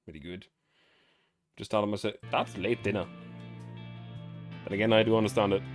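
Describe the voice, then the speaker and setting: A man livestreaming as host, reading game story aloud with casual asides and stumbling now and then, his voice slightly raspy. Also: on the low side, steady tone, soft background music. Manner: halting, often stumbles and corrects words while reading.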